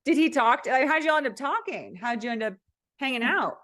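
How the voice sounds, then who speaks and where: Woman hosting, gently asking questions. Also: high voice, expressive, fairly quick. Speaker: podcast host. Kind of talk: casual interview chat.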